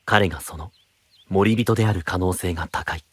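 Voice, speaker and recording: An actor playing a calm, gentle, secretive young knight, speaking in a soft, measured, reflective way in an anime-style audio drama. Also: male voice, sits low, dry recording. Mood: serious, pensive.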